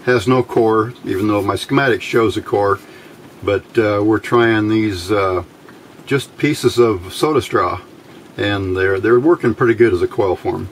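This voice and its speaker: A middle-aged man giving an informal hands-on tutorial in halting short phrases, pausing often as he explains. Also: lowish voice, poor audio. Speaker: electronics hobbyist demonstrating a homemade circuit. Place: indoors at a workbench. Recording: steady clear background noise.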